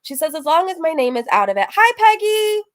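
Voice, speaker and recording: A woman's voice: very high-pitched, very animated, brisk. A woman hosting a casual reaction livestream, chatty and gossipy, repeating phrases for emphasis as she comments and reads out messages. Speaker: online reaction channel host.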